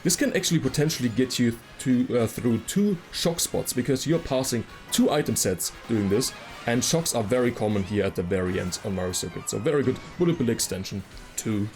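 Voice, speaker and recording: Casting: male game guide narrator, medium pitch, casual and explanatory, music underneath. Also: animated, fairly quick, darkish tone. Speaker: video game guide creator. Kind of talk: explanatory gameplay commentary.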